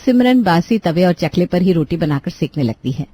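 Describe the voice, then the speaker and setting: A woman's voice: lowish voice, animated, normal speed, warm tone. A woman narrating a scripted moral story for a cartoon as storyteller.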